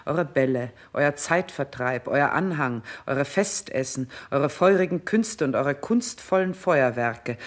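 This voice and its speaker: An actress playing a stern, scornful older queen in a 1960s radio play, with speech and an imperious, declamatory delivery. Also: low voice. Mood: accusing, scornful. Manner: imperious, biting, declamatory, emphatic enumerations.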